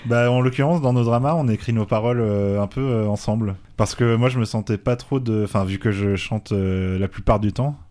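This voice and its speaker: A young man's voice sits very low, his answers halting and full of fillers as he explains his band's work. He talks casually, restarting his sentences as he searches for words. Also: mellow. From France. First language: English. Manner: hesitant, many fillers and restarts. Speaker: band singer, interview guest. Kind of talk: casual radio interview.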